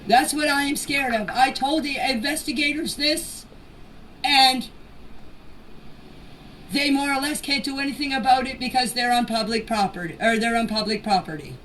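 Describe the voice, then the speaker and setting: A woman's voice: high voice, normal speed, fairly bright tone. A woman giving an unscripted personal statement in voice messages, plainspoken and emphatic, repeating phrases for stress. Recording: noisy voice message, distant from mic.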